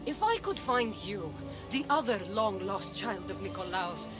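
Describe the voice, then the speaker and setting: A woman's voice: mid-range voice, expressive, a little slow, over loud music, natural voice. A woman playing a fierce young demigod cult warrior in a video game, contemptuous and threatening as she talks. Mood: cold scorn. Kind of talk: video game dramatic acting.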